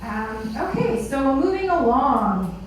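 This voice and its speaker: A woman's voice: high-pitched, very animated, takes it slowly. A woman moderating a panel, telling casual personal anecdotes to a room. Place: indoor meetup event space with seated audience. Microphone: far camera. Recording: very echoey room, distant microphone, background noise.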